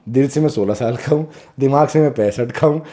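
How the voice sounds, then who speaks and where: A man in his thirties, a podcast guest, telling stories in a casual, animated way, swearing freely and acting out the people in them. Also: medium pitch, brisk, background noise. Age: 30s. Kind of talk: casual storytelling, mixing Hindi and English. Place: podcast studio.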